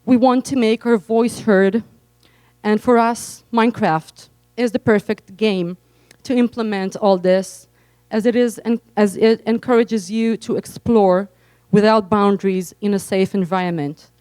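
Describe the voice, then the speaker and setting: A woman's voice: medium pitch, fairly even intonation, measured pace, darkish tone, clean tone, no room sound. A woman from Israel with an Israeli accent, reading a prepared speech carefully as an entrepreneur. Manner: careful, read-aloud delivery.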